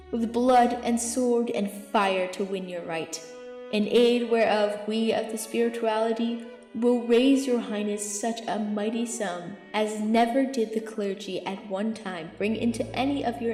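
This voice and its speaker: A woman's voice: a little high, fairly even intonation, unhurried, darkish tone, natural voice. A woman playing a zealous, eloquent archbishop counselling a king in declamatory Shakespearean verse in an audio drama. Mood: rousing, zealous. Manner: declamatory, rhetorical. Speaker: amateur actor in student audio drama. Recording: echoey hall reverb, music underneath.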